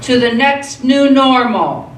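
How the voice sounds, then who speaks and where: Woman playing grand scheming council boss in radio theatre, slow delivery, roomy and far off. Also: high-pitched, lively intonation. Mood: ceremonial. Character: power-hungry conspiratorial council leader, grandly self-assured. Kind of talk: live radio theatre acting. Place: theatre stage. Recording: echoey stage, distant mic, faint noise.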